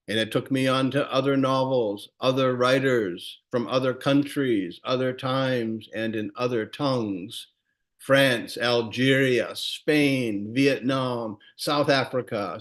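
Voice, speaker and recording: A man who is a teacher, reading his own poem aloud on a video call in a measured, storytelling way. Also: medium pitch. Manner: measured, warm storytelling recitation. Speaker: poet and teacher.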